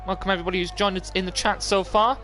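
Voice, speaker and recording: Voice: mid-range voice, little pitch movement, racing, sharp tone, faint music behind. A commentator giving live play-by-play of an online motor race on a stream. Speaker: esports racing commentator.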